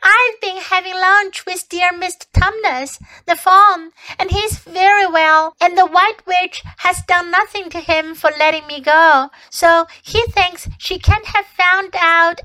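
A woman voicing an eager, earnest little girl who talks breathlessly and excitedly in an audiobook. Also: very high voice, measured pace, hardly any pauses, pitched above the performer's own voice, heavily acted, low-quality recording. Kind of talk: audiobook character voice.

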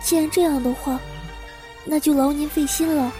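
A woman's voice: high voice, fairly even intonation, moderate pace, lightly acted. A woman acting a haunted, anxious young woman who narrates her childhood trauma in a radio drama. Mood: polite, reserved. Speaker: voice actor and narrator. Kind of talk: first-person radio drama narration and dialogue. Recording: reverberant echo with music underneath. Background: music.

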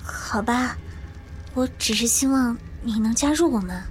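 High-voiced, earnest young woman survivor played by an actress. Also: measured pace, natural voice. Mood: earnest, pleading. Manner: earnest, emotional. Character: earnest young woman survivor protecting children, anxious, pleading. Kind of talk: audio drama acting.

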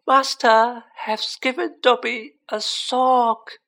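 A little male elf servant from a storybook, squeaky and pitched far up. He draws his words out slowly, with wide-eyed devotion and earnestness. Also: level tone, gravelly, a bit bright. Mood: awed disbelief. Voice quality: shrill, squeaky. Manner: slow, drawn-out, wide-eyed, speaks of himself in third person.

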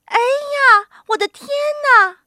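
Woman acting a shrill, excitable little old lady. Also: takes it slowly, dry recording, studio quality, heavily acted. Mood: terrified. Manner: shrill, dramatic exclaiming. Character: small, skinny old woman, excitable yet sharp.

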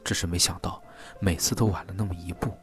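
A man acting a sombre investigator in a Chinese audio drama, recounting things gravely and in a measured way. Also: sits low, steady tone, faint music behind, natural voice. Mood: sombre, explanatory.

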